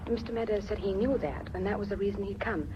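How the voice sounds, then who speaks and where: Female actor for radio-play secretary: quick, courteous, efficient, heard on a muffled, noisy recording. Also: medium pitch, natural voice. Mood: apologetic, brisk. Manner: deferential, brisk. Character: polite, efficient English company secretary. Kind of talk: British radio drama acting.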